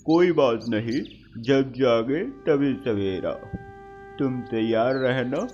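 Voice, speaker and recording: Man acting village elder, very slow delivery, medium pitch, moralising cartoon dialogue, music underneath. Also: darkish tone, muffled recording, lightly acted. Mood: forgiving. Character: village men, stern older brother and elder neighbours. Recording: music behind the voice.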